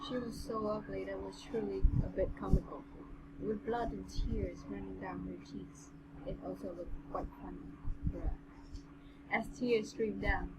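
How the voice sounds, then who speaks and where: A woman hosting a reading podcast, narrating a story in an amateur, casual way with her own comments. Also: mid-range voice. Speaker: podcast host reading aloud. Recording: heavily noisy room recording.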